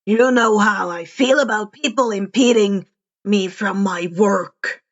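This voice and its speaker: A woman's voice: medium pitch, measured pace, lo-fi sound, voice pushed down, close to an everyday voice. A woman voicing a menacing male killer in an audio story, threatening and condescending.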